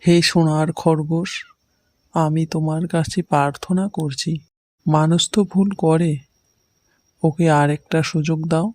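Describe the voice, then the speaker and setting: A man's voice: on the high side, little pitch movement, a little slow, pauses often, a little mellow, no room sound, lightly acted. A man playing an honest, kind village hunter who has reformed, speaking earnestly in a cartoon fairy tale. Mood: pleading, earnest.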